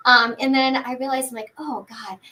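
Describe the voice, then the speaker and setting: A woman's voice: sits high, fairly even intonation, moderate pace, bright, forceful tone. A woman in her forties, a pharmacist guest in a podcast interview, talking earnestly and fluently with frequent fillers and restarts. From United States.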